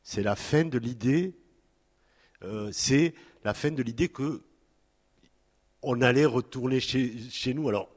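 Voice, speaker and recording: A man in his fifties from France, speaking at a panel in a halting way with many fillers and restarts. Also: mid-range voice. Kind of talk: hesitant spoken talk at a public panel. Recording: long hall reverb.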